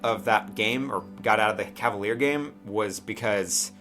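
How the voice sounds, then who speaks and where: A man with a fairly low, bright, forward voice narrates a tabletop game as its game master. He talks conversationally and haltingly, feeling out each phrase and checking in as he goes, with music playing underneath. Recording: music behind the voice.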